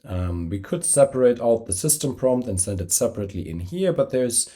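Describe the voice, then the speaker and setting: A man with a slight cold, hosting a screen-recorded software tutorial while traveling. He talks with many fillers and self-corrections, using a poor travel microphone. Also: medium pitch, animated, a little fast. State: has a cold, slightly congested. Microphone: poor-quality travel microphone.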